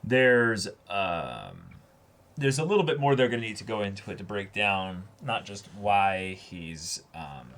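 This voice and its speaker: A man's voice: on the low side, very animated, unhurried, fairly bright tone. A man chatting casually and unscripted as co-host of an anime reaction discussion. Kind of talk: casual chat, unscripted commentary.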